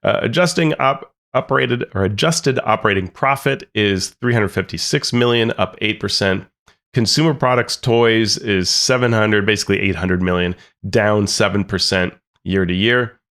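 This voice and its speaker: Low-voiced man recapping business news. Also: normal speed. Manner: relaxed, reads figures off notes with dry asides. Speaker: podcast co-host. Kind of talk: casual news discussion.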